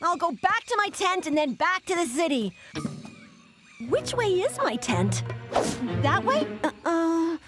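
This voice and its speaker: A woman's voice: very high-pitched, sing-song, unhurried, full character voice. An actress playing an anxious, self-pitying young woman scientist in a cartoon, with a dramatic, exasperated delivery. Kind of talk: exaggerated cartoon voice acting. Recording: loud music underneath.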